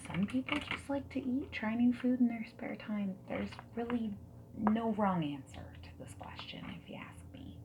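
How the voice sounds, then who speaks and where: A woman doing a friendly, reassuring ASMR roleplay as a dating service consultant, soft-spoken and often whispering. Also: fairly high pitch, moderate pace, heavy background noise. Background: mechanical keyboard typing and clicks.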